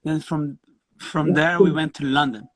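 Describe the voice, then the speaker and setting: A middle-aged man from Brazil, a guest musician telling his story in a casual interview over a video call, halting and restarting his phrases. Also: a little high, steady tone, a loud crowd, rough recording.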